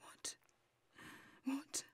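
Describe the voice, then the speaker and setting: A woman's voice: very slow, dry recording, whispered, clean studio sound. An actress playing a bewildered, distraught young mother in an audio drama. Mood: shocked, bewildered. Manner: bewildered, overwrought. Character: distraught young mother auditioning as voiceover artist.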